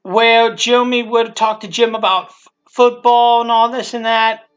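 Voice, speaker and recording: A creator giving casual, unscripted commentary, rambling and repeating themselves in a halting way. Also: medium pitch, little pitch movement. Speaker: creator commentating on own abridged series. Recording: dull lo-fi mic. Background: show audio and music playing underneath.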